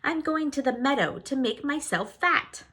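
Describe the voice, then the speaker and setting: Smallest storybook goat, female reader in role: young, meek, pleading, small high voice. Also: lively intonation, normal speed, bright, forceful tone. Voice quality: very small, thin voice. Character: tiny young male goat, timid and pleading.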